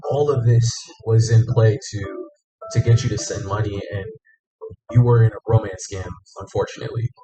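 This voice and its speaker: A man's voice: fairly low pitch, steady tone, normal speed, highs cut off, over music. A male host laying out findings in a matter-of-fact, earnest way. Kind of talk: reality show talk.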